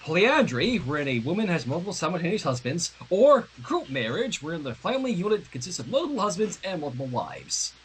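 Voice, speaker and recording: A man reading fan fiction aloud on a livestream, mixing animated narration with casual asides. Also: high-pitched, a little hiss or hum, rough recording.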